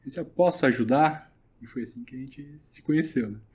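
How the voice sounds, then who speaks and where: A middle-aged man, telling his story in a relaxed, chatty way as a podcast guest. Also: medium pitch, brisk, husky. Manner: relaxed, chatty, many fillers. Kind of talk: casual interview storytelling.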